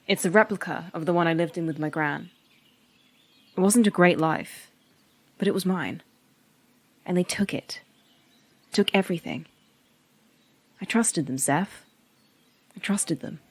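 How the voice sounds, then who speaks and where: Low-voiced, resolute sentient network woman. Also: a little slow, pauses often, no room sound. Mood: wounded, bitter. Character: woman who is a sentient computer network, wounded, wry, resolute. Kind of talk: sci-fi horror audio drama acting.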